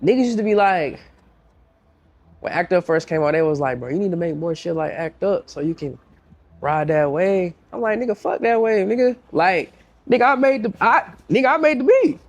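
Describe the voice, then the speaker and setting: A man's voice: high-pitched, lively intonation, normal speed, lo-fi sound. A young man with a congested voice, a music producer talking casually in a podcast interview, slangy and repeating himself as he tells stories.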